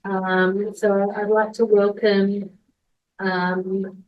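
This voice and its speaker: A woman hosting an event and informally introducing speakers, with a quiet voice and a hesitant, pausing delivery. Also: medium pitch, little pitch movement, dull audio. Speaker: event host, moderator.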